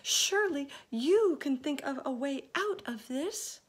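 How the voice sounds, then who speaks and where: A woman voicing a sensible, motherly female ladybug with a gentle, coaxing way. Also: high-pitched, big pitch swings, a little slow, voice pushed up. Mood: anxious.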